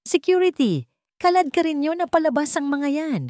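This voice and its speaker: Woman acting a furious high-pitched older rich matriarch. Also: animated, moderate pace, warm tone, no echo, clean studio sound. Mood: scornful, outraged. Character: furious haughty older rich mother, imperious.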